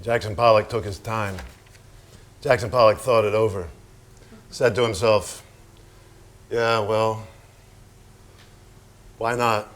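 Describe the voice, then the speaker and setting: An actor playing a gruff, crude, brooding male painter in a theatrical staged reading, blunt, terse and sardonic. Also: on the low side, steady tone, slow pace, pauses often, a bit bright, a little hiss or hum. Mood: offhand, swaggering. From United States (the figure, Wyoming).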